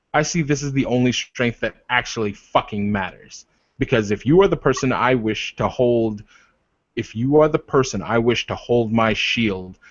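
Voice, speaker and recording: A man's voice: medium pitch, no echo. Man playing a proud, insistent werewolf leader, muffled recording. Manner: insistent, rhetorical questions, repeats phrases for emphasis, swears. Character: proud, protective male werewolf leader arguing passionately for respect. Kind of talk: improvised in-character roleplay dialogue. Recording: dull, lo-fi voice recording.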